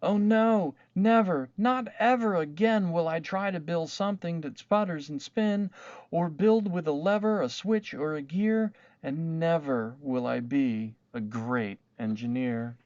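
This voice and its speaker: An earnest, self-doubting little girl from a storybook , acted out by a man. The voice sits far above the reader's natural pitch and is dramatically delivered. The recording is a touch dull. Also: measured pace, darkish tone, dry recording, lightly acted. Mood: horrified despair. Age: child. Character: young girl inventor, earnest, easily crushed. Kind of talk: storybook read-aloud character voice.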